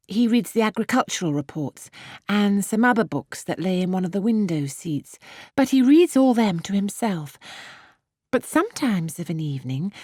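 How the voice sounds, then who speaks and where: An eager, artless young English girl, as a woman reader plays her in a classic audiobook. Her voice leaps up and down with a soft husky breathiness. She hesitates, flusters easily and chatters on good-humouredly. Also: medium pitch, dry recording, studio quality. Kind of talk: classic novel audiobook character voice.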